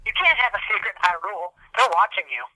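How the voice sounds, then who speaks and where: A man co-hosting a casual video game chat, joking and ranting in an animated way. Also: high voice, fast talker, no echo, rough recording. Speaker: podcast co-host.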